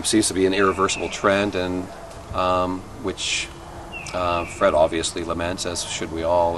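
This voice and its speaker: A man, a voice actor, giving a thoughtful, hesitant interview in an informal documentary style. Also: deep voice. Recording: distant mic, clear background noise.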